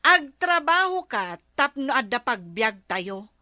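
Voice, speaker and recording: High, bright, fed-up wife played by a woman. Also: sing-song, slow pace, dry recording, studio quality, exaggerated voice. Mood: firm, fed up. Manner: firm, scolding. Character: fed-up, resolute wife and mother. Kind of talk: comedy drama acting.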